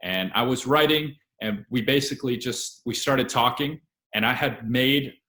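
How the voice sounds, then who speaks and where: Relaxed young man in a roomy echo. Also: medium pitch, moderate pace. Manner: relaxed, steady storytelling with fillers. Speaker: guest actor and writer. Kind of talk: casual interview chat.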